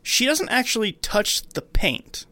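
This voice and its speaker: A man's voice: high-pitched, very animated, unhurried, sharp tone, no echo. A man co-hosting a casual discussion, retelling a film step by step. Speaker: podcast co-host.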